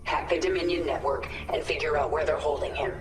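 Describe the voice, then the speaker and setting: Breathy, fierce warrior queen played by a woman. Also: sits low, a little fast, music in the background, close to an everyday voice. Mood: commanding, resolute. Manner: terse, commanding, intense. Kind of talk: dramatic video game cutscene acting. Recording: echoey, with loud score.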